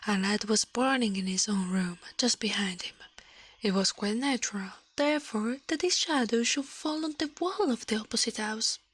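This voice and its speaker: A woman with an Italian accent speaking softly in an ASMR livestream, often whispering, close to the microphone. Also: a little high, normal speed, no room sound. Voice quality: soft, breathy, often whispered. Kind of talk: ASMR, soft-spoken and whispered storytelling and chat.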